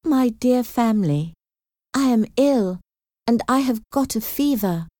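A woman's voice: fairly high pitch, animated, unhurried, warm tone, dry recording, clean studio sound, pitched above the performer's own voice. A woman voicing a sweet, trusting young woman in a storybook, with an earnest, gushing manner. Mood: weak, reassuring.

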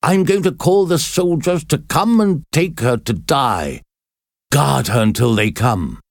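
A man voicing an obsessive middle-aged priest, speaking slowly with a trembling, menacing tone. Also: on the low side, sing-song, no room sound, studio quality, full character voice. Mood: commanding.

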